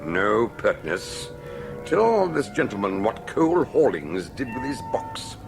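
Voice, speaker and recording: An actor playing a suave, menacing sorcerer villain in an audio drama, theatrical and mockingly polite with drawn-out threats. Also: male voice, on the low side, huge pitch swings, creaky, music in the background, heavily acted. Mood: stern, threatening.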